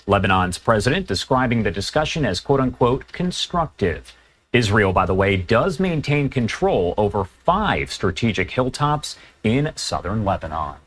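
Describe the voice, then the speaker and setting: A male news anchor presents live updates with a lively, rising and falling pitch. He reads quotes with measured emphasis in a polished broadcast style. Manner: measured, emphatic newsreader delivery.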